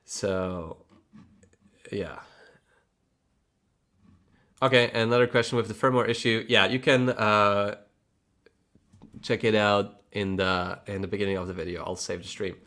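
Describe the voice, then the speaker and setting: Low-voiced man with a Polish accent. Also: fairly even intonation, measured pace, long pauses, a bit bright. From Poland. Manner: rambling, restarts words, laughs often; chatty and frank, mild swearing. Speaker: livestream host. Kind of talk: casual livestream chat.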